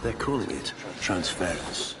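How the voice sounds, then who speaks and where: A man's voice: low voice, sing-song, unhurried, heavy background noise. A man playing a stern, solemn official in a sci-fi video game. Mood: uneasy urgency. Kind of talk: dramatic video game acting. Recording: loud music behind the voice.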